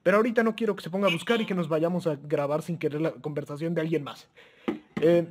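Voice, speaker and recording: A man's voice: a little high, quick pace. A man casually demonstrating a handheld radio for viewers, hesitant, with frequent restarts and fillers, chuckling now and then as he talks to them as friends. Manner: chuckles often while talking. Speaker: radio gear reviewer. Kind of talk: casual product demo.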